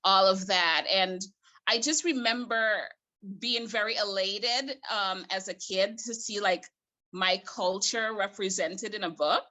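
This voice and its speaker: A woman from Guyana, a librarian guest, talking in a hesitant, thoughtful way with many pauses and restarts. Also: mid-range voice, bright, forceful tone. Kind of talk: casual interview chat.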